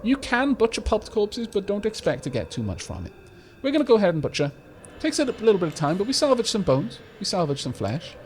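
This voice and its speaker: Chatty guy doing a let's play, bit of rumble, kinda highish voice, bouncy delivery. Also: wildly swooping pitch, fairly quick. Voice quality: slight rumble in the voice. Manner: chatty, explanatory, frequent restarts and fillers. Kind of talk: casual gameplay commentary.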